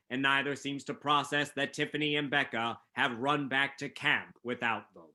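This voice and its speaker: Bright, crisp-edged male narrator. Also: medium pitch. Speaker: narrator reading stage directions. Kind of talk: scripted narration.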